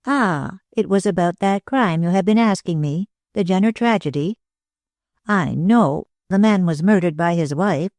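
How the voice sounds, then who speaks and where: A reader voicing a thin, pale woman clairvoyant who speaks slowly and with emotion, in an audiobook. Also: medium pitch, a little mellow, dry recording, pitched above the performer's own voice, natural voice. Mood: calm. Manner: slow, emotional, solemn. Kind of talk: audiobook reading.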